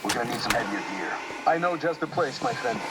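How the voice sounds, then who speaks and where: An actor playing an urgent, loyal comrade in a military shooter game. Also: male voice, medium pitch, animated, fairly quick, close to an everyday voice. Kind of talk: acted combat game dialogue. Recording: distant voice under loud gunfire noise.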